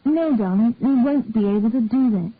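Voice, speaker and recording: A woman voicing a gentle young mother who speaks tenderly and soothingly, with a husky edge and a tinny phone-like sound. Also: on the high side, normal speed, dry recording.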